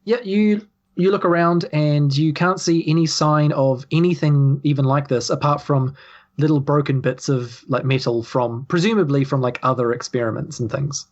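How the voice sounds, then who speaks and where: A man's voice: on the high side, steady tone, moderate pace, darkish tone, muffled recording. A man with a New Zealand accent casually improvising narration as game master.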